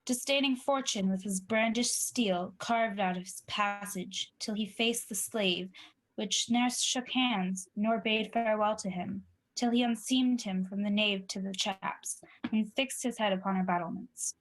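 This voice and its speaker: A woman's voice: medium pitch, a little slow, natural voice, poor audio. A woman playing a valiant wounded sergeant, giving an emphatic battle report in the style of a 1940s radio Shakespeare play. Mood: grim, proud.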